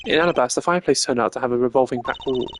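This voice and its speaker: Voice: mid-range voice, rapid-fire, soft background music, natural voice. Someone playing a stern, formal male prosecutor. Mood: analytical. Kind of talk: video game character voice-over.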